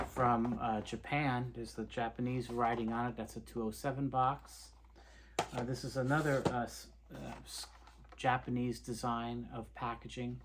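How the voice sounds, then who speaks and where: A man presenting an informal, unscripted product showcase, explaining things in a halting, hesitant way with repeated words. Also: medium pitch, background noise. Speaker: presenter of reel tape review videos.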